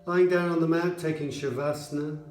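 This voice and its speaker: A man with a dark, relaxed voice guides listeners through a meditation. He speaks in measured, soothing phrases with pauses between them. The sound is roomy, with gentle music behind him. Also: fairly high pitch. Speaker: yoga nidra instructor.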